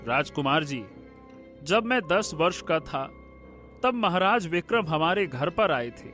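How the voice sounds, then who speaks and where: A sorrowful, humble young painter voiced by a man in an animated folk story. The voice sits high and moves very slowly, halting and plaintive, with faint music behind it. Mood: sad recollection. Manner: halting, plaintive, repeats phrases for effect. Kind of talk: Hindi animated moral story dialogue.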